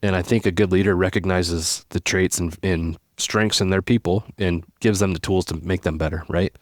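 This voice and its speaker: A man hosting a podcast interview, affirming his guest with quick, upbeat replies and praise. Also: low voice, steady tone, no echo, studio quality. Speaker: podcast host.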